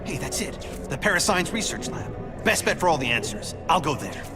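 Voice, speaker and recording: A man's voice: on the high side, sing-song, normal speed, over music. A man playing a serious, exasperated hero ally in a video game, terse and intense. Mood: thinking aloud. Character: urgent, serious male futuristic hero ally.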